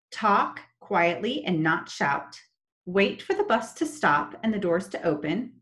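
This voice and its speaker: A young woman teaching children in an online lesson, talking slowly and in a sing-song way over a laptop webcam. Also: fairly low pitch. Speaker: special education teacher. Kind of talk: online lesson with screen share. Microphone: laptop webcam, video call.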